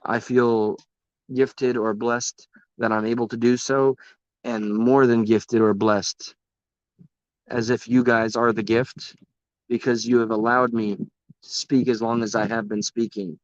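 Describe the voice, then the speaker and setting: Hoarse, ranting livestream host man. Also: medium pitch, flat delivery, measured pace, no room sound, lo-fi sound. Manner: rambling, passionate, swears often. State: voice worn from talking. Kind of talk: casual livestream talk to chat.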